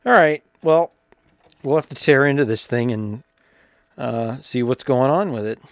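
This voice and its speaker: A man giving casual, hands-on repair narration as a repairer, thinking aloud in an informal, halting way with muttered asides. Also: medium pitch, no echo, studio quality. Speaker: vintage radio repairer presenting his own video.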